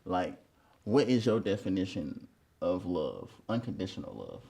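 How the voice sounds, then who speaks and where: A man's voice: deep voice, wildly swooping pitch, normal speed, creaky, mellow, breathy edge. A man hosting a casual dating-show follow-up interview, asking questions in a laid-back, slangy way. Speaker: host.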